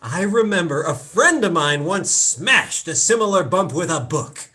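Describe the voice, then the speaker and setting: An actress as a stubborn, anxious patient in a play reading. She is fretful and demanding, with pitch that swings widely from line to line. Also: very animated, measured pace, fairly bright tone, husky, full character voice. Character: anxious, stubborn patient, a middle-aged mother fretting over her wrist. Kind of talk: live play reading over video call. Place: indoors, remote video call performance.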